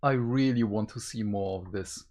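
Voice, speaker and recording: A man's voice: on the low side, normal speed, darkish tone. A man co-hosting a review show, talking casually with his partner.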